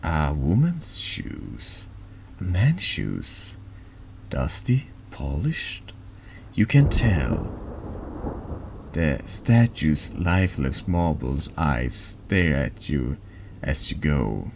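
A man with a deep voice reading horror narration slowly and haltingly in an ASMR style, over background noise. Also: big pitch swings, vocal fry. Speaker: vtuber reading a text game aloud.

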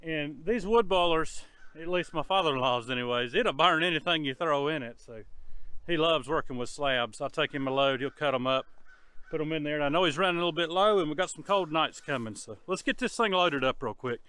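A man's voice: on the high side, brisk, sharp tone, clean tone, no room sound. Folksy Southern man vlogging outdoors. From North Carolina. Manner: folksy, easygoing, talks to camera. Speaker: homesteader vlogger. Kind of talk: casual vlog narration. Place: outdoors in a wooded homestead yard. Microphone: camera held close at arm's length.

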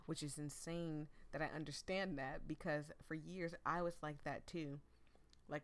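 Candid, low-pitched woman chatting casually. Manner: candid, emotional, informal. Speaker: host of a visual novel let's play. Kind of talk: casual chatty let's play commentary.

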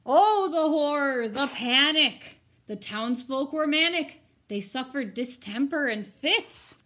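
High-voiced woman reading a picture book aloud. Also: slow pace. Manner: expressive, animated reading; informal, chatty asides to children. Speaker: teacher reading aloud to pupils. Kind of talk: picture-book read-aloud with casual asides.

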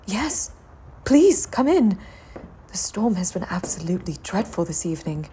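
A woman acting a young, genteel, desperate widow in a gothic audio drama, speaking formally and theatrically with a breathy voice. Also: medium pitch, sing-song, moderate pace, dark tone, slight background noise, close to an everyday voice. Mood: polite, anxious.

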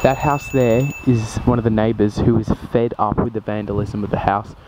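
A man narrating an urban exploration vlog in a casual, sometimes hushed way, with wry asides. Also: lowish voice, fairly even intonation, normal speed, noisy background. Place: abandoned half-built dome house outdoors and indoors.

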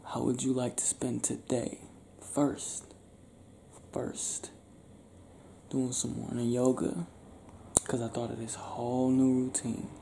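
A young man doing an improvised ASMR boyfriend roleplay, talking softly and affectionately in a breathy, teasing, near-whispered way. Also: mid-range voice, completely flat delivery, slow, pauses often. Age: young adult. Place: small bedroom, lying on a bed indoors. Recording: roomy sound with faint background noise.

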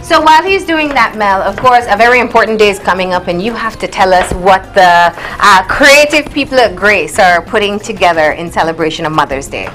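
A woman's voice: mid-range voice, expressive, brisk, hardly any pauses, fairly bright tone. Woman in her 30s chatting as a cooking show host, little music in back. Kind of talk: casual interviewing and chat. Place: TV studio kitchen set.

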